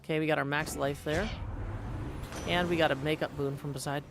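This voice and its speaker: A man's voice: a little high, fairly even intonation, a little fast, vocal fry, background noise. A man doing casual live game commentary, thinking aloud and reading game text out as he plays. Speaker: game streamer practicing voice acting.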